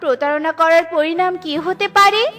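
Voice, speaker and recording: A woman's voice: very high-pitched, normal speed, fairly bright tone. A woman giving scripted narration for a children's cartoon story.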